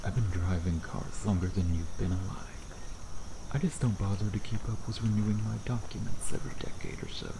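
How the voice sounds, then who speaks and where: Man playing a low-voiced teasing vampire, crickets behind. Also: steady tone, fairly quick, natural voice. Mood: flirtatious banter. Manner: sardonic, playful banter with pet-name teasing. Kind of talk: ASMR roleplay acting, addressing the listener. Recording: noisy outdoor ambience with crickets.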